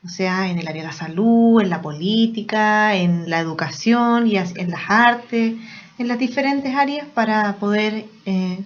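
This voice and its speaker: A woman from Chile with a Chilean accent, a missionary and nurse, answering interview questions calmly. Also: mid-range voice, a little slow. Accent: Chilean Spanish. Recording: dull lo-fi sound.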